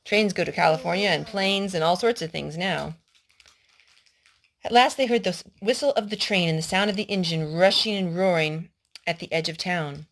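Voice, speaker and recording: A woman's voice: fairly low pitch, gravelly. Woman reading a story aloud. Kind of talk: read-aloud children's historical story.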